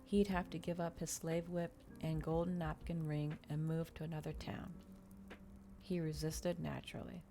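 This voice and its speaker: A woman reading a novel aloud in ASMR style, with a soft, hushed voice and measured, unhurried delivery. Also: deep voice, little pitch movement, gravelly, over music. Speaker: ASMR streamer.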